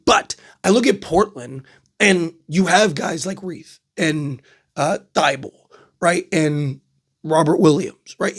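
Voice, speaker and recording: A man who is losing his voice to a cold, hosting a casual solo basketball talk show and often tagging his points with "right?". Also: a little high. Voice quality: frequent "right?" tags and filler words. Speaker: basketball podcast host. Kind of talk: casual solo sports commentary.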